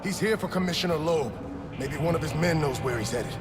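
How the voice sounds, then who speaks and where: An actor playing a frightened, corrupt prison guard in a video game, pleading and stammering. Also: male voice, fairly high pitch, animated, fairly quick, roomy sound, long reverb tail, over music, lightly acted. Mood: anxious.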